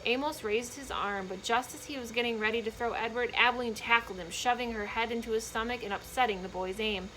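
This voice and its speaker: A woman reading a children's book aloud in an expressive, emphatic storytelling manner, with short explanatory asides for young listeners. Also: fairly high pitch, a little fast, hardly any pauses, a little hiss or hum, poor audio.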